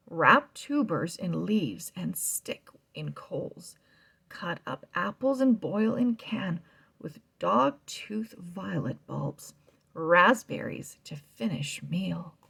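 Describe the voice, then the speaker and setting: A woman reading a children's novel aloud, slow and expressive, sometimes dropping to a soft whisper. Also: mid-range voice, gravelly, slightly rough. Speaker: read-aloud narrator.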